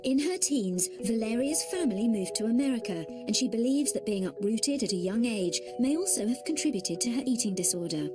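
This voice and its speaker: A woman reading scripted documentary narration with a steady, even delivery. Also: fairly high pitch, fairly quick, few pauses, over music.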